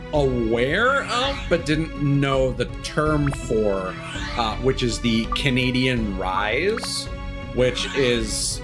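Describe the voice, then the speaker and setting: A man commentating casually on a game livestream, rambling in halting asides with repeated words. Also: medium pitch. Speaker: game streamer.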